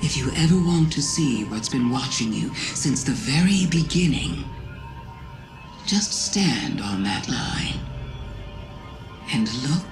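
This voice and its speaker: Cunning witch-goddess game villain, silky and insinuating, very unhurried, reverberant over music. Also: a bass voice, lively intonation, full character voice. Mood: sly, beguiling. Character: seductive, cunning, ancient witch-goddess tempting a hero. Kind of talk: theatrical game villain monologue.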